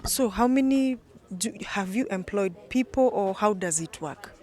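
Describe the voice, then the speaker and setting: A woman's voice: medium pitch, lively intonation, unhurried, darkish tone. A woman with a Kenyan accent presenting and interviewing as the host of an online news channel.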